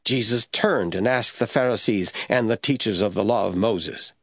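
A man narrating scripture from a script as the storyteller of an audio drama, with a husky voice. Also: lowish voice, moderate pace, fairly bright tone, dry recording, clean studio sound.

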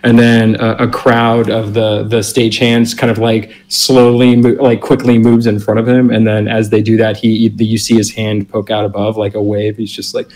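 A man's voice: on the low side, monotone, normal speed, few pauses, a little mellow, poor audio. A man playing a tabletop roleplay game, describing his character in a loose, rambling way full of "like". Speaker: player.

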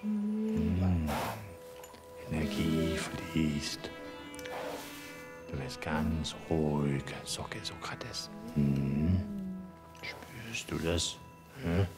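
Older male for a children's radio play: easygoing retired vet, deep voice, very varied intonation, music underneath. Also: big pitch swings, extremely slow, pauses often, exaggerated voice. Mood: soothing. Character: kindly easygoing retired country horse vet. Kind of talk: children's audio drama acting.

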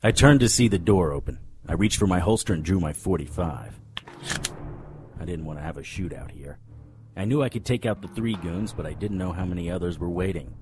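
A man's voice: deep voice, fairly quick, darkish tone, a little hiss or hum, lightly acted. An actor playing a hard-boiled, wisecracking private detective, narrating a noir radio drama in a wry, tough-guy manner. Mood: wry narration.

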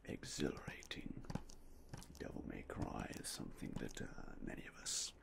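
A young man whispering in a polite, unhurried way as a game store clerk in an ASMR roleplay, close to a binaural mic. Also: background noise. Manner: soft, polite, unhurried shopkeeper talk with fillers. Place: indoors in front of a game store backdrop. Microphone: binaural mic close to the face.

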